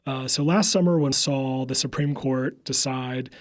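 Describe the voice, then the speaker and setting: A young man with a Southern accent answers interview questions in an earnest, eager way. His pitch sits in the middle range. He now and then stumbles over fillers. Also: measured pace. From upstate South Carolina. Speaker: guest, think tank media staffer. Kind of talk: conversational interview.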